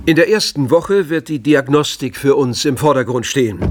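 An actor playing a formal, instructive seminar leader in a TV drama. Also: male voice, medium pitch, moderate pace, natural voice.

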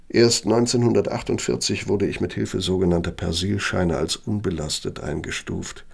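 An actor playing an older, proud and bitter architect in a radio play, self-justifying in a measured, self-righteous way. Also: male voice, low-pitched, vocal fry, darkish tone, husky, heavily acted. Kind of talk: naturalistic radio play monologue and dialogue.

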